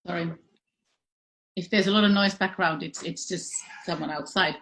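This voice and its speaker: Low-pitched middle-aged woman. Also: fairly even intonation, normal speed, gravelly, a bit bright. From Finland. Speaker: panelist, tourism consultant. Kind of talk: informal self-introduction on a panel. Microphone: video call.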